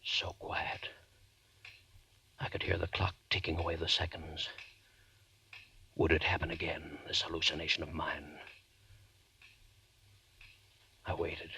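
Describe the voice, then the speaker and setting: An actor playing a haunted, guilt-ridden man unravelling into terror, with intense, halting, melodramatic delivery in a 1940s radio horror play. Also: extremely low pitch, little pitch movement, creaky, slight background noise, exaggerated voice. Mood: hushed dread. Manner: intense, halting, melodramatic, from hushed dread to shouting. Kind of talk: 1940s radio horror acting, first-person narration. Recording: vintage 1940s radio recording.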